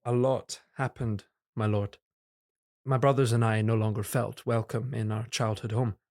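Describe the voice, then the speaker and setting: A man's voice: on the low side, level tone, normal speed, darkish tone, close to an everyday voice. An actor in an audio drama playing a guarded, earnest young man who speaks politely and hesitantly to his superior. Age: young adult.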